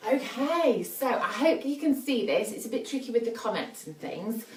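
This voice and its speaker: A woman's voice: on the high side, expressive, moderate pace, a little hiss or hum. A woman with a northern English accent, chatting informally and explaining things as she hosts a casual live baby play demo at home. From northern England, Yorkshire. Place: indoors at a family house.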